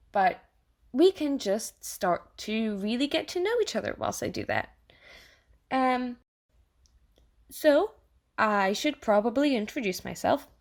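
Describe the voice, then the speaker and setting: A woman's voice: a little high, full character voice. A woman playing an eager, awkward and apologetic AI assistant who lives inside someone's head, in an audio drama monologue. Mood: nervously upbeat. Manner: bubbly, awkward, hesitant, apologetic, over-reassuring. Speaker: AI personal assistant. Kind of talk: audio theatre monologue addressed to the listener.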